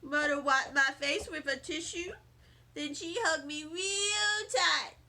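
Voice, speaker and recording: A woman reading a children's book aloud as a teacher would, in an expressive storytelling style. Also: sits high, slow pace.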